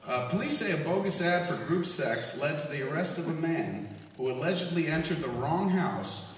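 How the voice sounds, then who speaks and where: Guy hosting a live podcast, swearing and doing silly voices, recorded by a camera far back in a big echoey room, crowd laughing loud. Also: a little high, moderate pace, a little hiss or hum. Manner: profane, animated storytelling with mocking voices. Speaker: podcast host. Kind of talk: live comedy podcast, reading news and riffing. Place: campus stage before a live audience. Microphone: hand-held camera recording from the audience.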